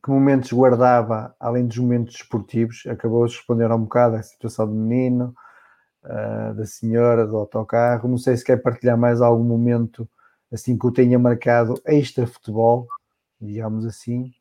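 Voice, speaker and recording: A man with a European Portuguese accent hosts an interview. He asks his questions politely and formally. His voice sounds warm and mellow. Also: medium pitch, little pitch movement, brisk. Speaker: interviewer, host.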